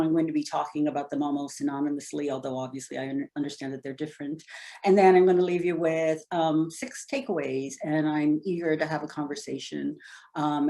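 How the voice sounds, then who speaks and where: Woman, from the Bahamas, low pitch, academic lecture, conversational, lots of fillers. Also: a little mellow. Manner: conversational, thinking aloud with frequent fillers. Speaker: invited lecturer. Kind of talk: academic lecture over video call.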